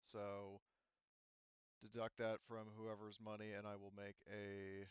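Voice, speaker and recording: A man's voice: fairly low pitch, completely flat delivery, slow pace, smooth, dry recording, muffled recording. A man casually running a tabletop game as game master, hesitating and thinking aloud as he gives rulings.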